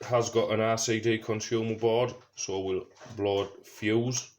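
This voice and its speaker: A man with a fairly low voice presents a casual how-to. He talks through each step as he works, thinking aloud as he goes. There is some faint noise on the amateur recording. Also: fairly even intonation, unhurried. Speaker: presenter of a DIY car project video. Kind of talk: casual hands-on tutorial talk. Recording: noisy amateur recording with clicks.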